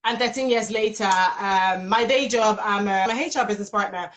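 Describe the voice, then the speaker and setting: A woman in her thirties from Nigeria, speaking with a Nigerian accent. She is an HR business partner chatting casually in a live interview over a phone video call, with a big, energetic, expressive voice. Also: medium pitch, brisk.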